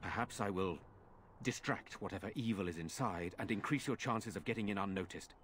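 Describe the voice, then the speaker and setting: A man playing an earnest, resolute nobleman in a fantasy game, speaking formally and gravely in measured phrases. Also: on the low side, fairly bright tone, natural voice. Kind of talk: dramatic fantasy game acting. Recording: game dialogue over faint ambient noise.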